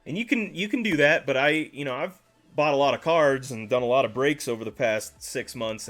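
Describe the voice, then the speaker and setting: A man's voice: medium pitch, fairly bright tone. A man hosting a casual livestream, chatting with viewers in a rambling way and correcting himself as he goes, a little congested and nasal. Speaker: card-collecting livestream host.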